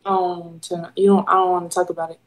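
A woman's voice: fairly low pitch, little pitch movement, brisk. A woman in her twenties on a video call, blunt and unimpressed. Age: 20s. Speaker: online date contestant. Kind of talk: casual video call chat.

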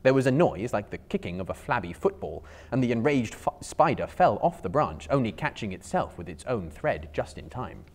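A man's voice: medium pitch, very animated, moderate pace, hardly any pauses, darkish tone. A man in his thirties narrating a storybook as a dramatic reading, theatrical, emphatic and carefully phrased. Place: indoor bookstore room lined with shelves.

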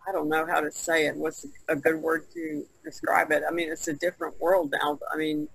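A middle-aged woman with a raspy, creaky voice, chatting casually among fellow artists. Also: low voice, animated, fairly quick, no room sound, poor audio. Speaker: artist on a podcast panel. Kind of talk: casual chat. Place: online video conference call.

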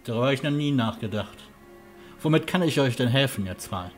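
A man's voice: mid-range voice, lively intonation, a little fast, soft background music, lightly acted. A man playing a friendly, helpful and somewhat hesitant castle huntsman in a fantasy audio drama. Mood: friendly, curious.

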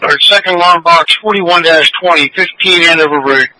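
A man's voice: a little high, moderate pace, pushed and bright. A man reading out formal alarm announcements as a fire dispatcher over two-way radio. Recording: slightly noisy dispatch radio.

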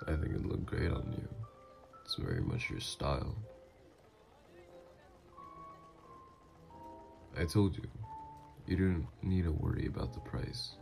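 Man playing a very deep-voiced, doting mafia lover. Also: very animated, normal speed, vocal fry, a little mellow, exaggerated voice. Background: music.

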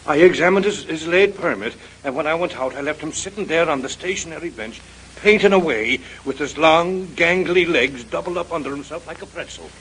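Highish-voiced folksy guard. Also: male voice, a little fast, fairly bright tone. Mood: deferential, folksy. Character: deferential, folksy male museum guard. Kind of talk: 1930s radio mystery acting. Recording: noisy old radio drama recording.

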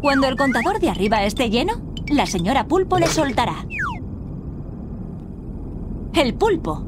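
Magical tutorial guide: encouraging, instructive, lilting, slightly high delivery. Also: female voice, very animated, normal speed, over music. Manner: reassuring, instructive. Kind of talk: scripted game instructions. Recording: clear ambient noise behind.

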